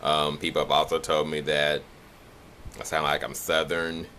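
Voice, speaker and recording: A young man from the Chicago area with a Chicago accent, talking in a nasal, monotone way for a casual accent challenge video. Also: extremely low pitch, moderate pace, a little hiss or hum. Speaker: vlogger.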